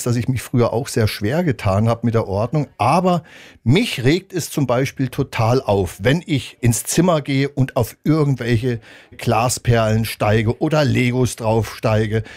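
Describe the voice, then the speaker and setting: A man's voice: medium pitch, a little mellow. Male principal, mid-range voice, plain-spoken, mildly exasperated. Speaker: school principal. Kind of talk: street interview statement.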